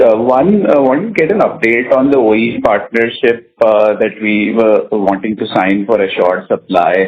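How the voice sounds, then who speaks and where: Low-voiced man, muffled call audio. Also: a little hiss or hum. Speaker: financial analyst asking questions. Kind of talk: earnings call questions. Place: conference call. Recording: dull band-limited call audio.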